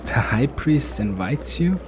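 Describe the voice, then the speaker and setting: A man playing a bold, brash woman adventurer with a challenging manner in a dark fantasy audio drama. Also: medium pitch, sing-song, takes it slowly, background noise, pitched above the performer's own voice. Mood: disbelieving, accusatory. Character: bold, brash woman relic-trading companion, accusatory.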